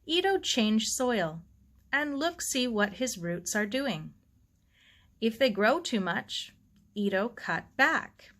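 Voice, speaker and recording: A woman voicing a calm, devout elderly Japanese gardener who speaks slowly in broken English. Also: on the high side. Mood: calm, instructive. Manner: slow, broken English with dropped articles.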